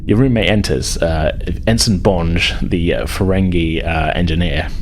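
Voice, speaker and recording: A man with a low voice runs a tabletop role-playing game as its game master. He improvises haltingly, doubling back on words, with clear noise behind him.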